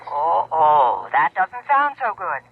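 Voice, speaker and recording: A woman acting in an old-time radio drama as a pushy, nosy young confidante, brisk and insistent, through a tinny telephone sound. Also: low-pitched, huge pitch swings, slightly rough, exaggerated voice. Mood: concerned, prying.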